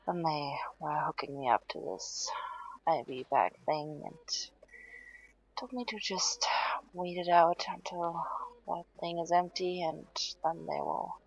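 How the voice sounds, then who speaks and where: A woman playing a weary, heat-exhausted patient in an intimate audio roleplay, halting and hesitant, downplaying things in a weak, breathy voice to soothe someone. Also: lowish voice, highs cut off, heavily acted. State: tired, drained. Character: weary heat-exhausted female patient reassuring a worried partner. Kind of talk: intimate audio roleplay, one side of conversation.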